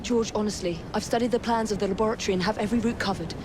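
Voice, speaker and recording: A woman playing a poised young female assassin, brisk and dryly teasing, in a video game. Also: medium pitch, background noise, lightly acted. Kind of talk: video game cutscene acting.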